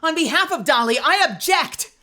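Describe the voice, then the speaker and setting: A woman's voice: sits high, animated, moderate pace, background noise, voice pushed up. A woman voicing a flustered young male lawyer who talks earnestly and naively.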